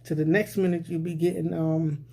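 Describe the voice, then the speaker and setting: A man's voice: fairly high pitch, flat delivery, normal speed, gravelly, warm tone. A man vlogging a rambling, casual social commentary, often repeating "you know what I'm saying.".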